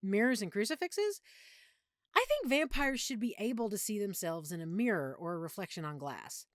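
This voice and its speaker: Woman playing a sweet opinionated girlfriend whos secretly a vampire, audio rp, chatty and animated, super dry intimate recording. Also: medium pitch, normal speed, no echo, close to an everyday voice. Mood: earnest, geeky. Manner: chatty, playful, geeky explaining. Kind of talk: intimate audio roleplay, conversational acting.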